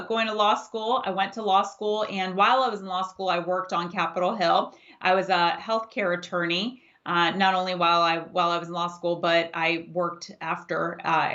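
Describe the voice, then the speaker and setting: A woman in her forties, a native Farsi speaker raised in the United States, giving steady, emphatic answers as a political candidate in an interview. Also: on the low side. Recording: dull lo-fi audio.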